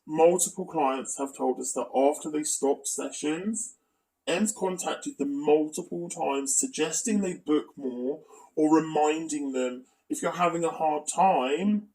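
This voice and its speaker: A man's voice: on the high side, creaky, a little mellow, recorded from a distance, low-quality recording. A man narrating a scripted exposé as a video commentator, with asides and a critical, accusatory manner.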